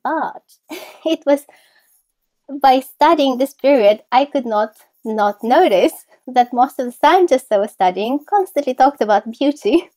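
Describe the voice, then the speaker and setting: Bulgarian accented lady, kinda high voice, close dry sound, talking thru her ideas like an interview guest. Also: lively intonation, unhurried, dark tone, no echo. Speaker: guest, philosopher of science. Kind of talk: reflective interview answers.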